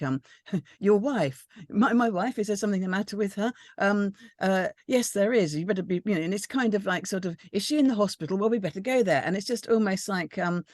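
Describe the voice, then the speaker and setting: Woman going through script notes, kinda low voice, hesitant, thinking out loud, dry sound. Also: no echo. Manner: tentative, hesitant, thinking aloud. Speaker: script panel reader giving feedback. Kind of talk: informal spoken critique of a script.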